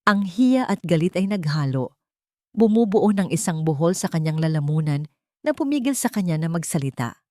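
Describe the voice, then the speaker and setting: Female Filipino audiobook narrator: low register, dramatic storytelling, very dry close sound. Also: animated, moderate pace, a little mellow, dry recording.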